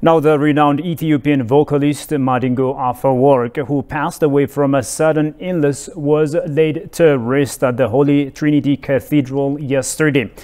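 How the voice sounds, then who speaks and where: A man reading news links in a formal, even delivery. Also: fairly high pitch, normal speed, few pauses. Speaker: news anchor.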